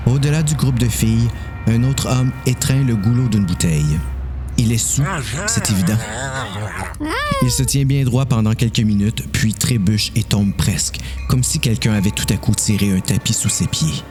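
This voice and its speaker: A man with a Quebec French accent reading a suspense story in a measured, deliberate way as the narrator of an audio drama. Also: mid-range voice, expressive, over music.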